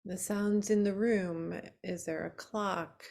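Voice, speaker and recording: A woman teaching yoga nidra, guiding a relaxation meditation in a soothing, unhurried way with long pauses between short phrases. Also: mid-range voice, steady tone, vocal fry, darkish tone.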